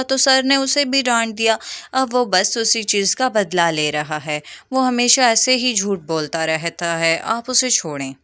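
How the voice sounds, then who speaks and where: A woman's voice: on the high side, sharp tone, smooth, no echo. A woman voicing a poor, honest, studious young schoolgirl in a cartoon story, frank and protesting.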